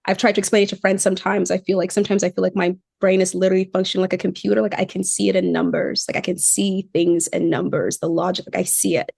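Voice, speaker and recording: Gravelly-voiced young woman, reflective guest. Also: fairly low pitch, fast talker, few pauses, no echo, clean studio sound. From Cameroon. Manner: reflective, animated, says "like" often. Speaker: PhD student guest. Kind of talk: podcast interview.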